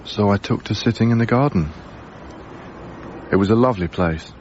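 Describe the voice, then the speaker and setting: An actor playing a reserved, observant young lodger in a radio drama, narrating in a measured, literate way. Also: male voice, lowish voice, a little mellow, dull audio, a little hiss or hum, natural voice. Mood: reflective, wry. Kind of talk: radio drama first-person narration and dialogue.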